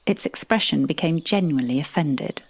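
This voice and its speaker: A woman playing a composed, wry supernatural narrator, telling a fantasy tale in a measured, deadpan manner. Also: deep voice, creaky, husky, heavily acted. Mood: deliberate, deadpan. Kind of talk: scripted first-person fantasy narration.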